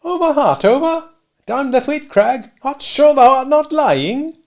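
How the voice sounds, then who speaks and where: Man putting on a high fussing old country dame. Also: lively intonation, unhurried, exaggerated voice. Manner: dialect speech, fussing and exclaiming. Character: kindly old village dame, fussing and motherly.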